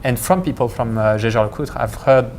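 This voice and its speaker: A man's voice: mid-range voice, a little fast, darkish tone, a little hiss or hum. Man explaining pronunciations. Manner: hesitant, many fillers and restarts. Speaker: vintage watch expert. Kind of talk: casual conversational explanation.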